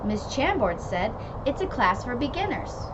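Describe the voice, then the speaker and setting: A woman voicing a patient mother who asks questions gently, in a storybook reading. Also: fairly high pitch, sing-song, a little slow, pitched above the performer's own voice. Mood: gentle. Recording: muffled, with vehicle noise.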